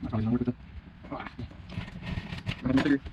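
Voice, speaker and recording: A man's voice: mid-range voice, fairly even intonation, fast talker, highs cut off, noisy background. A man casually narrating as he installs parts in a Jeep, muttering to himself and getting mildly frustrated. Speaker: DIY vehicle modder. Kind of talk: casual narration while working. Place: in and under a Jeep.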